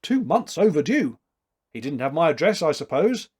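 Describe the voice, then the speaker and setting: A man's voice: a little high, very animated, moderate pace, a little mellow, husky, voice pushed up. A man voicing a gaunt, resigned working man in a story, speaking tersely. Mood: matter-of-fact.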